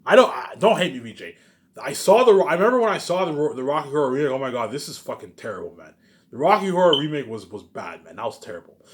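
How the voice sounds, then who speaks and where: A man rants casually and off the cuff, as if to his online followers. His pitch swings widely around an average male register. He hammers on the same phrases with emphasis, then drops away into slangy trailing asides. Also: big pitch swings, fast. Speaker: solo online vlogger. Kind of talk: casual unscripted monologue rant.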